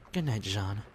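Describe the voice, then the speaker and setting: A man's voice: fairly low pitch, slight background noise. A young man playing a kind, idealistic youth in an audio drama, speaking earnestly and reassuringly. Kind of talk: fan audio drama acting. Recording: music bed behind the voice.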